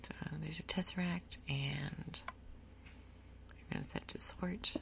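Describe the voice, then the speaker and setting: A woman speaks very low and softly, with a whispery edge and plenty of vocal fry. She narrates her gameplay casually in an ASMR style, unhurried and thinking aloud, with little movement in pitch. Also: steady tone. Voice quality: soft, whispery, breathy undertone. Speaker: gaming video host. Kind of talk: soft-spoken ASMR Minecraft gameplay commentary.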